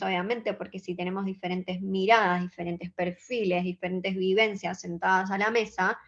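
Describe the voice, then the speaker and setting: A woman's voice: medium pitch, dull audio. Young Argentine woman giving a talk. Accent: Argentine Spanish. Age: 20s. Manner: earnest, measured, engages the audience with short questions. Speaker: conference speaker. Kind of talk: conference talk.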